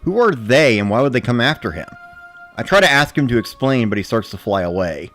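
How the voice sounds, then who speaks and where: A man giving a measured, matter-of-fact first-person narration of a scripted story. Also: mid-range voice, lively intonation, a bit bright, faint music behind. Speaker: narrator of a dramatic reading.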